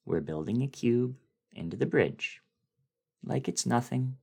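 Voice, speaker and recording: A man giving a dramatic reading of a horror story in a deliberate, brooding way with dramatic swells. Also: medium pitch, lively intonation, vocal fry, a little mellow, slightly rough. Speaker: dramatic reader.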